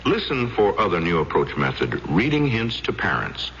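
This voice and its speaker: An American man delivers a scripted radio announcement. His voice is fairly low but bright, with lively intonation. The sound is a little dull, like an old broadcast. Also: normal speed. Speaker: public service announcer. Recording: muffled old radio, faint noise.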